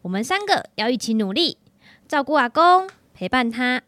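A woman's voice: sits high, sing-song, normal speed, fairly bright tone, no echo. A woman playing a caring, encouraging mother in a children's radio drama. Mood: gentle, encouraging.

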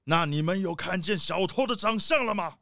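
Sharp, arrogant leopard boss. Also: male voice, sits high, animated, moderate pace, creaky, soft background music, exaggerated voice. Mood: sneering. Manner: sneering, blustery. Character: arrogant, short-tempered rich leopard boss and father.

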